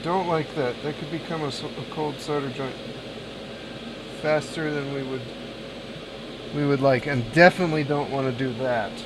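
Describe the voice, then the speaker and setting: Repair streamer, male, relaxed think-aloud banter; noisy room hum underneath. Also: medium pitch, normal speed, long pauses. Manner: thinks aloud, chats with viewers between tasks. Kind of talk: casual live-stream chat while soldering.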